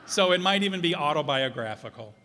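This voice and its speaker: A man introducing a show informally, reading from notes in a casual, halting, musing way. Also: a little high, lively intonation, sharp tone. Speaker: presenter introducing a radio players' show. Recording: echoey hall.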